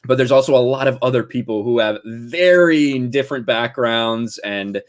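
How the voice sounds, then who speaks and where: A man's voice: medium pitch, normal speed. A man giving casual commentary in an earnest, explanatory way. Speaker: online video commentator.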